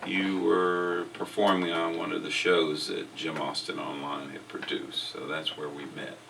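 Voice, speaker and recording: A man hosting a casual interview, upbeat and enthusiastic, asking halting questions with restarts. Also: extremely low pitch, monotone, vocal fry. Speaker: interview and podcast host. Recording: distant mic with faint background noise.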